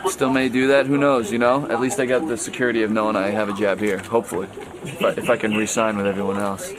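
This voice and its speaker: A man's voice: lowish voice, little pitch movement, fast talker. A man answering interview questions casually, dry and noncommittal. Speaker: show staff member being interviewed. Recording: very noisy room, loud background chatter.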